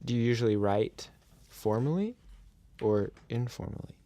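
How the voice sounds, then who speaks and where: A man's voice: medium pitch, lively intonation, normal speed, warm tone. A man with an American accent co-hosting a casual podcast, asking questions in informal, tentative phrasing. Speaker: podcast co-host.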